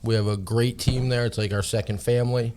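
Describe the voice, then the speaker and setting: A man's voice: medium pitch, little pitch movement, a little fast, a little mellow. A man from upstate New York with an American accent, explaining things casually as a guest in a radio interview. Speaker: interview guest, regional sales manager. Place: radio studio.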